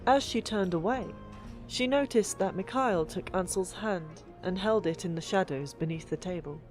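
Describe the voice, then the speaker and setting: A woman narrating a fantasy audiobook in an expressive, deliberate storytelling manner. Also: lowish voice. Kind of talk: dramatic scripted fiction narration. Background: background music.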